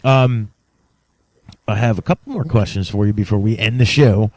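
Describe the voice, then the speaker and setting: Lowish guy hosting a podcast, chatty and informal, kinda fanboyish, really dry sound. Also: moderate pace, darkish tone, dry recording. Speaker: podcast host, interviewer. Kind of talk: casual interview chat.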